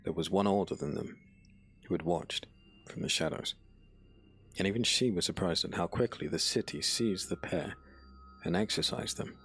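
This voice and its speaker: A man narrating a dark fantasy audiobook in a solemn, dramatic, scripted style. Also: sits low, normal speed, slightly rough. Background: background music.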